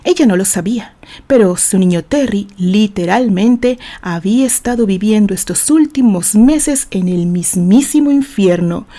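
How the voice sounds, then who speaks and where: A woman with a Mexican accent narrating a story in an expressive, deliberate, theatrical way, like a dramatic audiobook. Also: medium pitch. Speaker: author reading fiction aloud for own channel.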